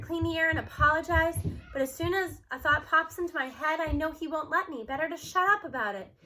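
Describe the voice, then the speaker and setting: Woman reading a kids novel out loud, expressive, high bright voice. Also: a little hiss or hum, rough recording. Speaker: read-aloud narrator. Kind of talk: expressive first-person storybook narration.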